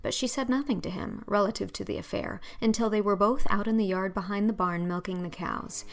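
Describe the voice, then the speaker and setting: Woman narrator with a creaky edge. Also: mid-range voice, a little fast. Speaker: narrator and audiobook reader. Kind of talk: scripted storybook narration.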